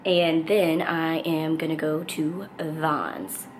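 A young woman in her twenties chatting casually to a handheld camera in her bedroom, her voice hoarse because she is losing it. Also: low-pitched, fairly even intonation, takes it slowly, background noise. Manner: bubbly, giggly, chatty. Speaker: vlogger. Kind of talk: casual vlog chat. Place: bedroom at home, indoors. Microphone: handheld camera at arm's length.

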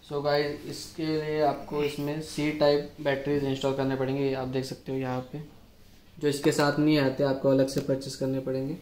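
A man's voice: medium pitch, monotone, normal speed, a little mellow. A man, giving a casual product demonstration. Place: echoey showroom with product displays. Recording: roomy echo with faint background noise.

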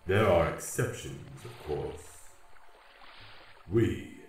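A giant grim reaper speaks very low and slowly, with formal, deadpan patience. The solemn voice booms through heavy echo over music in a comic fantasy radio play. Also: male voice, far from the mic, a little hiss or hum, heavily acted. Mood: matter-of-fact. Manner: slow, formal, deliberate, deadpan.

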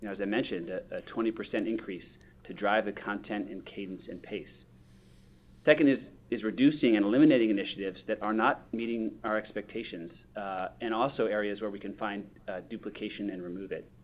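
A man speaking as a company executive on an earnings call, in measured corporate phrasing. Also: fairly low pitch. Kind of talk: earnings call answer, prepared business talk. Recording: dull lo-fi conference call audio.

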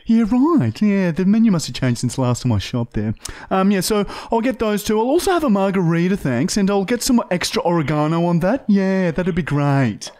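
A man's voice: high-pitched, big pitch swings, normal speed, few pauses, darkish tone. A man voicing Margaret, a fussy, chirpy and polite suburban Australian woman in a comedy prank call. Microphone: close boom-arm podcast microphone.

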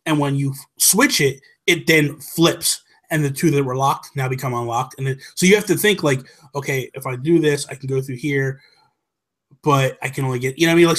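Male podcast co-host, middle-aged, slightly high voice, colloquial and animated explainer, casual gaming chat. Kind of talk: casual chat about video games. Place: small room at home. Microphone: desk microphone on a video call, headphones.

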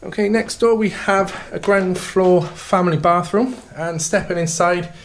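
A man with a slightly raised pitch leads a walking tour of a house as an estate agent. His sales patter is upbeat and steady, with plenty of "okay" and "fantastic" as he moves from room to room. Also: a little slow, slight background noise. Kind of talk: property tour walkthrough.

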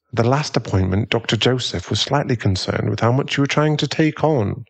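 A man with a British accent playing a tender, protective aristocratic werewolf fiancé in a soothing ASMR boyfriend roleplay, his voice husky and genteel. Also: fairly low pitch, exaggerated voice.

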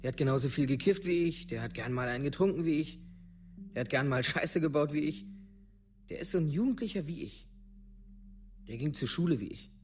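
An actor playing a rough, shaken teenage village boy in a documentary radio play, speaking bluntly and haltingly in colloquial language. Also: on the high side, lively intonation, darkish tone, a little hiss or hum, natural voice. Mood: wistful, defensive.

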